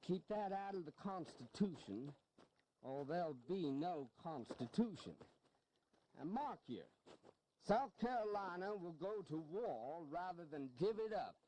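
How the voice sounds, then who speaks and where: Slow, drawling genteel statesman. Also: high-pitched, expressive, mellow, a little hiss or hum, low-quality recording. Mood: defiant. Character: genteel, wily Southern statesman defending slavery, male. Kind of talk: historical audio drama acting.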